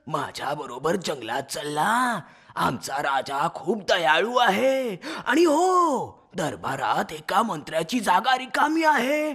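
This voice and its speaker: A man voicing a cunning fox minister in a children's animal story, raspy and creaky, with a wheedling, flattering manner. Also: high voice, takes it slowly, full character voice. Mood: coaxing, falsely friendly. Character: cunning sweet-talking fox, minister to a lion.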